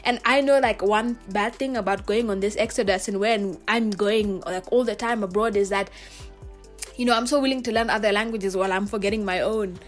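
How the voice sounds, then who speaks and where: A woman's voice: mid-range voice, brisk, faint music behind. A young woman with a Kenyan accent, an international student talking earnestly to her viewers in a casual chat full of "like" and "you know". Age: young adult. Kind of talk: casual reflective monologue to camera.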